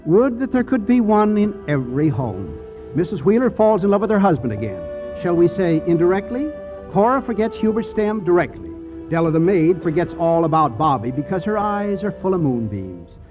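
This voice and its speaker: A man's voice: high-pitched, big pitch swings, moderate pace, lo-fi sound, music in the background. A man hosting and narrating a 1940s radio comedy in a wry, theatrical scripted style. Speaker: host and narrator. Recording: vintage 1940s radio broadcast.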